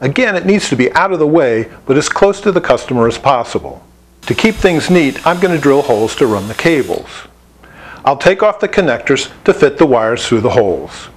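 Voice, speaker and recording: A man's voice: medium pitch, sing-song, moderate pace, slight background noise. A man giving a scripted instructional narration, explaining each step plainly as an instructor. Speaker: instructor presenting an installation tutorial.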